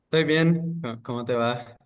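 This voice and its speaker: A man, talking in a thoughtful, halting way with many pauses and restarts as an interview guest. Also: mid-range voice. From Brazil. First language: Portuguese. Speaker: architect guest. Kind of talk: interview conversation.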